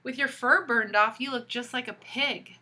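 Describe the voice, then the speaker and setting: A woman's voice: on the high side, moderate pace, pushed and bright, voice pushed up. A woman reading as a kind little pig. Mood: gentle. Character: kind sturdy little male pig, patient and gentle.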